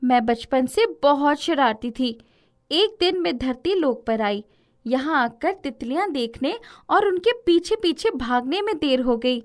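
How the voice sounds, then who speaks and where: A woman voicing a kindly, gentle fairy who speaks reassuringly in a children's storybook tale. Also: high voice, voice pushed up. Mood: wistful. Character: kindly, gentle female fairy guardian of the forest.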